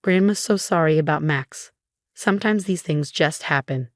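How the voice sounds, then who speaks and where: A woman's voice: low voice, normal speed, no room sound, clean studio sound, close to an everyday voice. A woman voicing a scheming, falsely sweet grandmother in a dramatic narrated story, cooing and self-righteous. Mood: cooing, fake sympathy.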